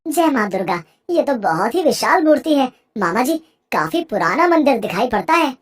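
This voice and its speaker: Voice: mid-range voice, very animated, normal speed, slight background noise, natural voice. An actor voicing a devout, obedient young village man in a cartoon moral story, earnest and respectful. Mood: respectful wonder. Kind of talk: animated Hindi moral story acting.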